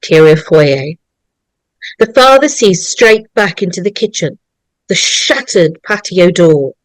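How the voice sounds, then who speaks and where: Woman narrator, unhurried pace, dry close sound, suspenseful horror script reading, short deliberate phrases. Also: fairly low pitch, animated, no echo, poor audio.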